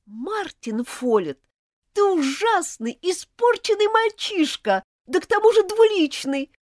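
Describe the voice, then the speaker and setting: A woman's voice: very high voice, very animated, slow pace, darkish tone, no room sound, clean studio sound, voice pushed up, full character voice. A woman reading aloud, voicing an indignant schoolgirl who scolds and accuses. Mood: outraged. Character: furious indignant schoolgirl, sharp-tongued. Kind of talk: audiobook character voice.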